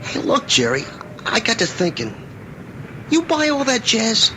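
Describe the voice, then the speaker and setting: An actor playing a cynical, scheming young hustler in old-time radio drama, slangy and insinuating. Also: male voice, very high-pitched, wildly swooping pitch, unhurried, background noise, full character voice. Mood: suspicious, probing.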